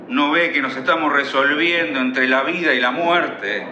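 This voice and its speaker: A man's voice: fairly high pitch, moderate pace, highs cut off, lightly acted. Guy playing formal older father, radio play, exasperated type, roomy and noisy recording. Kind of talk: theatrical radio play acting.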